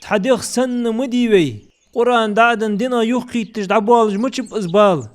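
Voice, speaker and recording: A man's voice: high voice, brisk, natural voice. A man voicing a male character in a dubbed cartoon. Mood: talkative. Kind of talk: animated cartoon dubbing.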